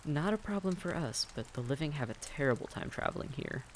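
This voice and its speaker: A man's voice: a little high, animated, a little fast, slight background noise, lightly acted. A man playing a friendly, reassuring vampire innkeeper in a fantasy audio roleplay, speaking courteously and conversationally to the listener.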